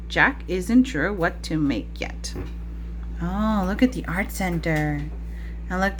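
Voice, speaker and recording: A woman's voice: medium pitch, a little hiss or hum. A woman teacher reading a picture book aloud to young children, chatting to them directly with questions and asides. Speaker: preschool teacher.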